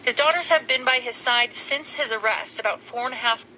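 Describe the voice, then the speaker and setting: Lady news reporter, super bright crisp voice, higher pitched, little bit of noise. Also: a little fast, low-quality recording. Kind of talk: scripted news narration.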